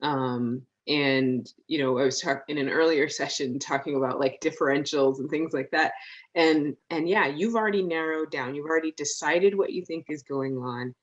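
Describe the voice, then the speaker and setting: A woman's voice: low-pitched, moderate pace. Participant: outspoken woman, mid voice, muffled call audio. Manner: outspoken, animated. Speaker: workshop participant. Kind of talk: online workshop discussion.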